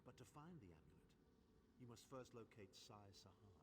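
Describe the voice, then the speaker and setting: An actor playing a haughty, sardonic older chancellor in a video game, speaking formally and with dry sarcasm. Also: male voice, lowish voice, expressive, fairly quick, close to an everyday voice. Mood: grave, urgent. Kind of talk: video game character voice acting. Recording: clearly noisy game capture with ambience.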